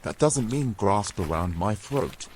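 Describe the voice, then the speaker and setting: A man's voice: lowish voice, expressive, a little slow, darkish tone, slight background noise. A man playing a grumbling, exasperated adventurer in a fantasy audio drama, haughty and formal in his phrasing. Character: grumbling, exasperated young adventurer carrying a mermaid.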